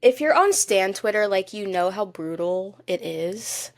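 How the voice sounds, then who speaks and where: A woman with a medium-pitched voice chats as a podcast co-host in a dry, echo-free recording. Her delivery is informal and expressive, leaning on emphasis to make her points. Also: measured pace. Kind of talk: casual chat about TV shows.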